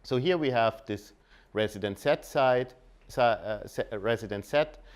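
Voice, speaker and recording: A man with an Austrian accent and German as his native language, giving an informal technical talk, often halting and rephrasing mid-sentence. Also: mid-range voice. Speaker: conference speaker. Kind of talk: technical presentation at a meetup.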